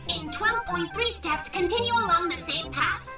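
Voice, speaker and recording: High, chatty little robot guide. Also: normal speed, reverberant, recorded from a distance. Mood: chatty, pleased. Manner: formal, precise announcing, eager to please. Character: chirpy, chatty, cheerful little robot navigation gadget. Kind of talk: children's cartoon voice acting. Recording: music behind the voice.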